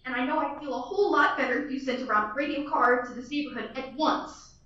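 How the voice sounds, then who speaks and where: A woman's voice: sits high, quick pace, a bit bright. A young woman playing a fretful bedridden lady. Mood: anxious. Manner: fretful, demanding, stammering. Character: nervous bedridden wealthy woman, shrill. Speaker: student actor. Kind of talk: school radio play acting. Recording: far-off echoey dull classroom recording.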